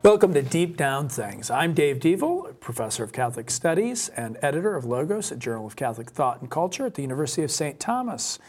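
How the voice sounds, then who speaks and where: A man hosting a conversational podcast, speaking in halting phrases and often rerouting his sentences. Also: on the high side, very animated, darkish tone, faint music behind. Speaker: podcast co-host, professor.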